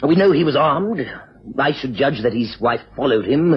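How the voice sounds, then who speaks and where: A very husky English ex-policeman. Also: medium pitch, animated, moderate pace. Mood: matter-of-fact. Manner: matter-of-fact, explanatory. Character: former Scotland Yard man, practical, affable investigator. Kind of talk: 1950s-60s radio drama acting. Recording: dull old radio recording.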